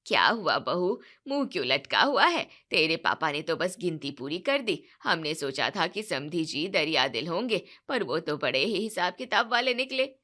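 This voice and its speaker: A woman voicing a status-minded middle-aged mother-in-law who talks loudly and scornfully. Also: lowish voice, sing-song, moderate pace, hardly any pauses, voice pushed down, exaggerated voice. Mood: taunting. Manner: loud, scornful, taunting.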